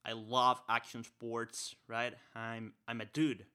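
A man's voice: medium pitch, little pitch movement, takes it slowly, bright, forceful tone, clean tone. A young man with an accent from Spanish as his first language, hosting a podcast with energy, often repeating points and tagging "right?". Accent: Spanish-speaker's accent. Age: young adult. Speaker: podcast host. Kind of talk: podcast interview and solo wrap-up.

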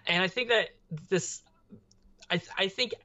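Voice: medium pitch, moderate pace, pushed and bright. A young adult podcast co-host chatting casually about pop culture, rambling and often searching for words.

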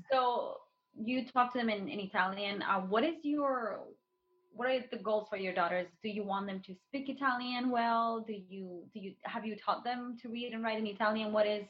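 A woman's voice: medium pitch, muffled recording. A woman hosting a podcast, introducing her guest and asking her questions. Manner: asks questions in long strings. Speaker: podcast host.

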